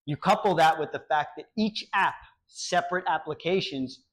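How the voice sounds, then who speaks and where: A man in his thirties gives an animated meetup talk in a small cafe, his voice sitting a bit high. He often acts out little example exchanges as he explains. The sound comes from a camera set up some distance away. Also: moderate pace. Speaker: meetup speaker. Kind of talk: technical talk with Q&A.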